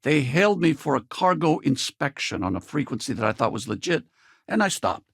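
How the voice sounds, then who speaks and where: A man playing a weathered, loyal spacefaring pilot in a video game, gravelly and husky, with a casual, wry way of talking. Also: a little high, sing-song, fairly quick, no echo, clean studio sound, full character voice. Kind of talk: video game companion dialogue.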